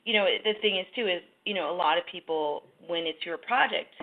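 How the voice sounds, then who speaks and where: A woman's voice: lowish voice, fairly quick. A woman musician being interviewed, talking casually and rambling with lots of fillers and restarts. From New York. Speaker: musician in a duo, piano. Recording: slightly dull lo-fi sound with faint music.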